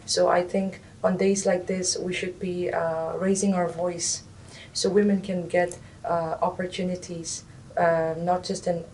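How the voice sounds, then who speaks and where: A young woman from Nagaland, India, answering interview questions hesitantly, with many fillers and restarts. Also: warm tone. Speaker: interviewee, recent graduate. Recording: distant mic, faint rumble.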